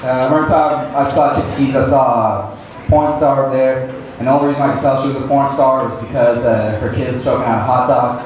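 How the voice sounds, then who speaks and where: A man's voice: medium pitch, steady tone, fast talker. Awkward young man doing stand-up in an echoey club. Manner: awkward, rambling, self-deprecating. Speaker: stand-up comedian. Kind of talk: stand-up comedy set. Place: comedy club stage. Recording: echoey club room, distant mic, background noise.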